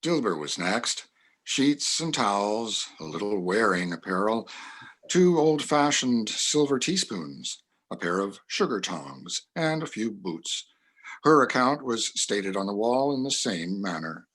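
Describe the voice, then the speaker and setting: A man with a husky, rough-edged voice narrates a classic story. His pitch is fairly low, and he reads from a script. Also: very animated, measured pace, a bit bright.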